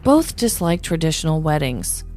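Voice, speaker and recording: A woman's voice: deep voice, sing-song, moderate pace, a little mellow, faint music behind. A woman podcast host telling scripted true-crime stories with dramatic, tongue-in-cheek delivery, like a comedic narrator.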